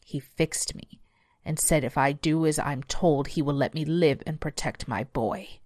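A woman voicing a massive demonic devil dog from a horror story, guttural and deliberate, menacing yet loyal. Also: deep voice, a little mellow, no room sound, clean studio sound. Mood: sorrowful. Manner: slow, deliberate menacing delivery.

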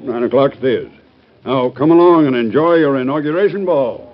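An actor playing a practical senator with a hearty, folksy, blustery manner in a vintage radio drama. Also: male voice, mid-range voice, moderate pace, loud music behind the voice, close to an everyday voice. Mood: jovial, hearty. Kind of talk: 1950s radio drama acting. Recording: muffled vintage radio, faint noise.

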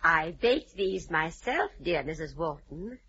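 An actress playing a sweet, fluttery, hesitant gentlewoman in an old-time radio drama. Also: low-pitched, sing-song, takes it slowly, breathy edge, heavily acted. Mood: tender, hesitant. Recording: muffled old radio recording.

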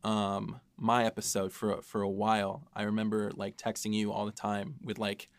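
A man's voice: fairly low pitch, monotone, moderate pace, clear, resonant tone, no echo. A man talking casually as a podcast host and writer, with a self-deprecating, joking manner. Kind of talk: casual panel discussion.

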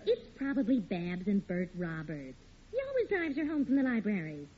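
A woman's voice: mid-range voice, big pitch swings, moderate pace, slightly rough. An actress playing a sensible, exasperated middle-aged wife and mother with an American accent in old radio sitcom style. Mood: reasonable, dismissive. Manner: firm, reasonable, exasperated. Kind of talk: 1940s radio situation comedy acting. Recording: very noisy, muffled vintage radio recording.

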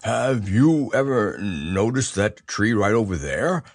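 A man voicing a slow, simple-minded, gentle male bear who stammers and hesitates. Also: fairly low pitch, big pitch swings, no echo, full character voice. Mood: hurried.

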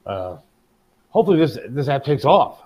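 A slightly muffled, lo-fi recording of a man with a mid-pitched voice. He talks as a co-host in loose, informal banter. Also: very animated, moderate pace. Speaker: talk show co-host. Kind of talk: casual chat. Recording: slightly dull, lo-fi audio.

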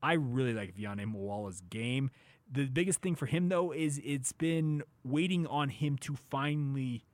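A man's voice: mid-range voice, measured pace, dry recording. A man with an American accent hosting a sports show with conversational commentary.

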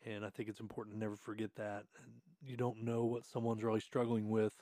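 A man's voice: fairly low pitch, little pitch movement, fast, mellow, no echo, studio quality. A middle-aged man hosting a podcast in a friendly, easygoing way. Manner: friendly, easygoing, laughs often. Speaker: podcast host. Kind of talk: casual podcast chat. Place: podcast room.

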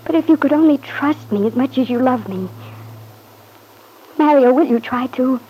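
An actress playing a devoted, anxious young wife in an old-time radio drama, pleading earnestly. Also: female voice, high-pitched, moderate pace, mellow, a little hiss or hum, close to an everyday voice, low-quality recording. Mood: tender, troubled. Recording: husky-sounding vintage radio recording.

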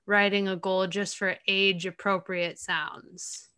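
A woman in casual podcast conversation with a bright, smooth, crisp voice. She talks in an enthusiastic, chatty way as she passes on tips. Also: medium pitch, flat delivery, takes it slowly. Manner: chatty and enthusiastic, recommends resources. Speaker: podcast co-host, speech-language pathologist. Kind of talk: casual professional podcast chat.

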